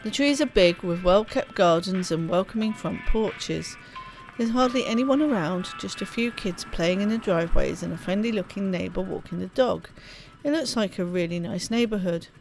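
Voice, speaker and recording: Female let's play host, mid-range voice, expressive narrative reading, casual asides, faint music underneath. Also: medium pitch, moderate pace, few pauses, rough recording. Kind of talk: reading game narration aloud.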